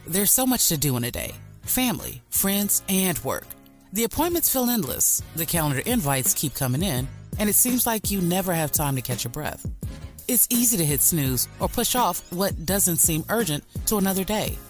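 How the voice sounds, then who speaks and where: A woman reading a scripted ad in a smooth, reassuring delivery. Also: fairly low pitch, big pitch swings, normal speed. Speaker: ad announcer. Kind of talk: scripted ad read. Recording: studio ad with faint music.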